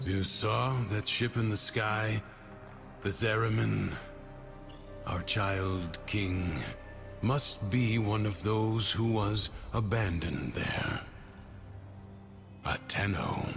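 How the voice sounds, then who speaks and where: An actor playing a calm, cryptic male mentor in a video game, speaking in a measured, solemn manner. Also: fairly low pitch, creaky, full character voice. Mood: calm, explaining. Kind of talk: cinematic game dialogue. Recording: loud music behind the voice.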